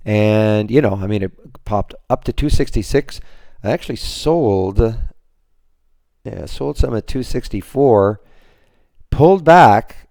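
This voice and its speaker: A man with a lowish, hoarse voice recaps his trades for a trading room, wandering and restarting as he talks. The sound is dry and close, like a desktop computer mic in a home office. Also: lively intonation, slow, long pauses, a little mellow, no echo. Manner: rambling, many restarts and fillers. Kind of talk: screen-share trading recap.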